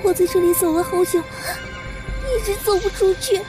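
Scared little servant girl, super high voice, stammers and pleads, radio drama with music under. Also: measured pace. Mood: scared, lost. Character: timid, frightened young servant girl, prone to panic. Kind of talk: Chinese audio drama acting. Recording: music behind the voice.

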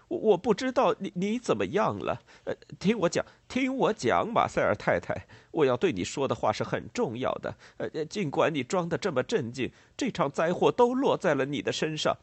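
A man's voice: on the high side, huge pitch swings, moderate pace, husky, no room sound, voice pushed up, exaggerated voice. A man voicing a frantic, greedy, angry farmer in a storybook, stammering and blustering as he repeats his words.

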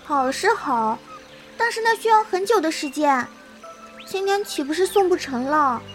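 Very high, childlike, willful girl. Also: lively intonation, moderate pace, faint music behind. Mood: thoughtful. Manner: cute, pouty, childlike. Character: willful, lively young girl disciple, childlike and impulsive. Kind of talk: Chinese wuxia radio drama acting.

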